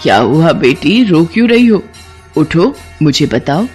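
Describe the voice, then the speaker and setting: A woman voicing a gentle, devout old woman in a story, soothing and full of counsel. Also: low-pitched, very animated, unhurried, slightly rough, soft background music, full character voice. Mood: concerned. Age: older.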